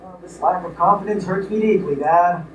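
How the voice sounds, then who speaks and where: A man's voice: high-pitched, normal speed, natural voice. Man acting scheming young son, echoey stage. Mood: feigned innocence. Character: scheming, smooth-talking young son, newly married. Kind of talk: live radio play acting. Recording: echoey, distant stage recording with noise.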